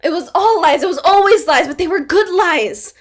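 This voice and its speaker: An actress reading the part of a grieving young pregnant woman, speaking haltingly and dropping to near whispers. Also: very high-pitched, expressive. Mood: desperate. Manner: halting, trailing into quiet, near-whispered confessions. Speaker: actor in a staged play reading. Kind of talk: staged play reading.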